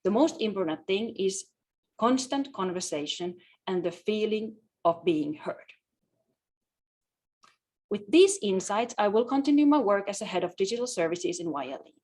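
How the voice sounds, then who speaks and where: A woman gives a prepared presentation in a medium-pitched voice. She speaks like an executive addressing colleagues, with a halting delivery that sometimes restarts. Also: expressive, darkish tone. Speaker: conference presenter, media executive.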